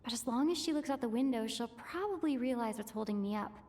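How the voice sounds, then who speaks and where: An actress voicing a young, caring traveler heroine in a video game, musing to herself. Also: female voice, on the high side, animated, fast talker, a little mellow, lightly acted. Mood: pensive. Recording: background music behind the voice.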